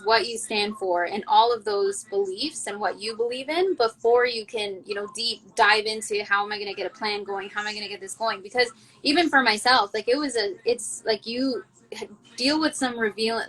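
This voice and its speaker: A woman's voice: medium pitch, a bit bright, poor audio. A woman hosting a casual interview, talking earnestly and thinking aloud with lots of "you know" and "like". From El Salvador, raised in Canada. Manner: earnest, rambling, thinks aloud with many fillers. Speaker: interview host.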